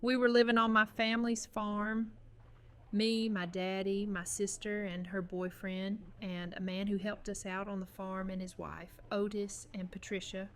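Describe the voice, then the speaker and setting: Faint noise sits behind an actress playing a young farm-raised woman in an audio drama. She is guarded yet warm, carrying old grief, and speaks at a middle pitch. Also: flat delivery, measured pace, natural voice. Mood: wistful. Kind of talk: fan audio drama dialogue.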